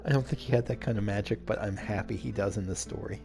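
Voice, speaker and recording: Male game commentator, creaky voice, casual and keen, faint music underneath. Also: lowish voice, fast, mellow. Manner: enthusiastic, amused. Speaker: let's play host.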